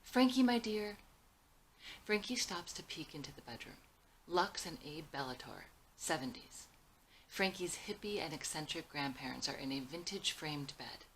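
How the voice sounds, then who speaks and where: A woman's voice: low-pitched, expressive, moderate pace, fairly bright tone, slight background noise, low-quality recording. A woman reading her own script aloud as scripted narration, with scene headings and stage directions.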